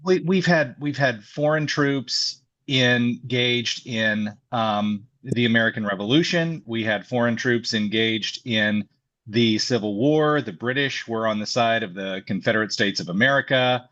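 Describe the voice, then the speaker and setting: Male co-host, mid-pitched, halting and filler-heavy, muffled lo-fi recording. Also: a bit bright. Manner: hesitant, many restarts and fillers. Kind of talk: political discussion. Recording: dull lo-fi sound.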